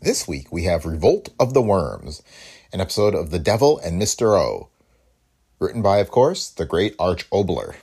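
A male podcast host reads a scripted introduction in a very low voice. His pitch swings in a lively way, and the tone is rough and husky. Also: normal speed.

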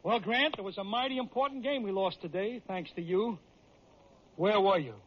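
A man with an American accent playing a tough, fiery baseball manager, blunt and forceful, in a radio story. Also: high voice, normal speed, slight background noise, close to an everyday voice. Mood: biting sarcasm. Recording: dull vintage radio recording.

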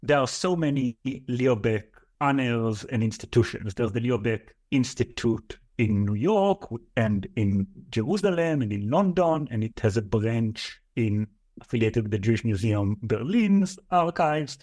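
A man's voice: medium pitch, lively intonation, a little slow, a little mellow. A man in his thirties with an Israeli accent, a guest scholar answering interview questions thoughtfully, with many fillers. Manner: thoughtful, listing at length with fillers.

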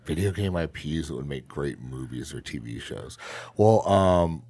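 A man's voice: rumbling low, animated, a little slow, a little mellow, slightly rough. A young man co-hosting a casual podcast while sick, congested and sniffly, breathing heavily. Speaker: podcast co-host. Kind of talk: casual chat.

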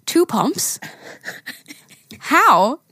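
A woman co-hosting a podcast in casual, expressive chat, exclaiming and swearing freely. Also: high voice, drawn-out words, dry recording. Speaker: podcast co-host. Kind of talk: casual chat, reading listener emails aloud.

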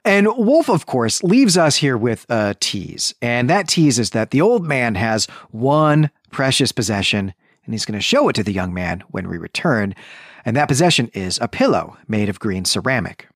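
Animated man recapping a story. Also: medium pitch, normal speed, no room sound, studio quality. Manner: explanatory, often checks in with "right". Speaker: podcast co-host. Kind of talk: literary recap, retelling a story conversationally.

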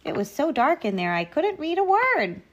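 A woman's voice: high voice, big pitch swings, moderate pace, a bit bright, pitched above the performer's own voice. A woman playing a feisty, bookish old grandmother in a storybook, with an indignant, plain-spoken manner. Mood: grumbling.

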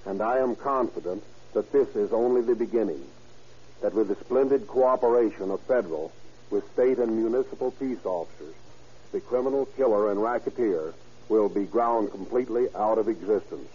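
A man's voice: on the low side, normal speed, dark tone, husky. A man with an American accent gives a solemn, formal scripted address in 1930s radio style, as a police chief. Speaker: police chief guest commentator. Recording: muffled vintage 1930s radio broadcast.

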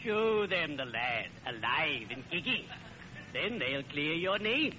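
An actor playing a solemn, genteel, morbidly droll friend in a 1940s radio comedy, with drawn-out delivery. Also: male voice, a little high, big pitch swings, sharp tone, full character voice. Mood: droll solemnity. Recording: very noisy, muffled old radio recording.

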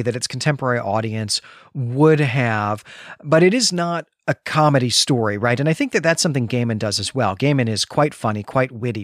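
A man's voice: mid-range voice, dry recording, clean studio sound. A man hosting a literary discussion podcast, talking in an articulate, discursive and emphatic conversational way. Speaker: podcast host.

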